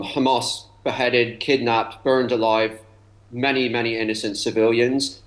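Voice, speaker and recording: A man giving live commentary as a news panel guest, measured and articulate as he argues. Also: mid-range voice, low-quality recording.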